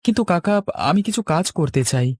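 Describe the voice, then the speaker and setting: A man acts out a young village man for a cartoon. He is polite, deferential and hesitant, speaking fast in a raised voice with a dry sound. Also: sits high, animated, a little mellow, breathy edge, no room sound, pitched above the performer's own voice. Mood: hesitant, respectful. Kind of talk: Bengali cartoon dubbing.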